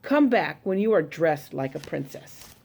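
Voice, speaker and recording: A woman's voice: low-pitched, sing-song, unhurried, vocal fry, a little mellow, husky, pitched below the performer's own voice, full character voice. A woman voicing a vain, snooty young prince in a storybook, haughty and scolding.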